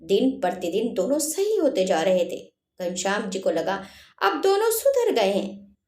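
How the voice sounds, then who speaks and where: Animated woman storyteller, roomy sound. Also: medium pitch, unhurried. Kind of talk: scripted story narration.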